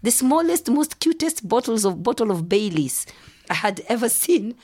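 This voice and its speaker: A middle-aged woman from Kenya, telling lively stories as a podcast guest and acting out the voices of people she quotes. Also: on the high side, moderate pace. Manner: animated storyteller, acts out quoted voices. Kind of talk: casual storytelling interview.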